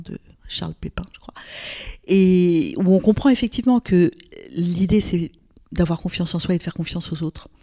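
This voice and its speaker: A woman's voice: sits low, creaky, darkish tone. An older woman from Lebanon, a gynecologist speaking as a guest in an interview, thoughtful, with frequent hesitations and restarts. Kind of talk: reflective interview conversation.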